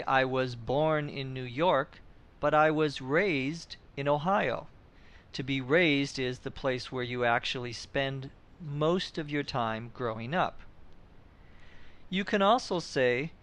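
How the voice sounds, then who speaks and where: Slow, deliberate middle-aged male teacher. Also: mid-range voice, fairly bright tone. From Minnesota, USA. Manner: slow, clear, deliberate explaining. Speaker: podcast host and English teacher. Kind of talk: scripted English lesson for learners.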